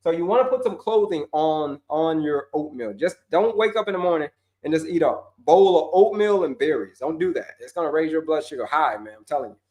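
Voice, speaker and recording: A man's voice: a little high, animated, a little fast, a little mellow. A man coaching on diabetes, answering viewer questions in a casual, emphatic way, repeating points and dropping slang. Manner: repetitive, emphatic, slangy, addresses viewers as folks.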